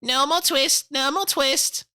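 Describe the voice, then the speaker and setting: A man voicing a chorus of tiny merry tailor mice, chanting nursery rhymes in high twittering voices. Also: slow pace, sharp tone, exaggerated voice. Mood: shouting.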